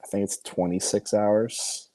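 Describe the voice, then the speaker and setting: Male angler guest, somewhat low pitch, relaxed conversational delivery, brief answers. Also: measured pace. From New England, near Massachusetts. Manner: laid-back, brief answers, chuckles often. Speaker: amateur tournament angler, guest. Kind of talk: casual interview chat.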